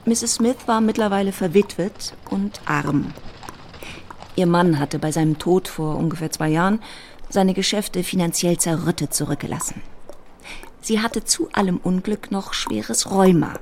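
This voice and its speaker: A woman giving measured, composed literary narration in a radio play. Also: on the low side, a little mellow, slight background noise, natural voice.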